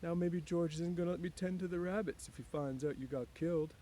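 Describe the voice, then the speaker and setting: A man's voice: on the high side, a little fast, mellow, slight background noise, voice pushed up, close to an everyday voice. A man reading a storybook aloud as a big, childlike, simple-minded man, plaintive and easily upset, with folksy uneducated phrasing. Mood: pleading, worried.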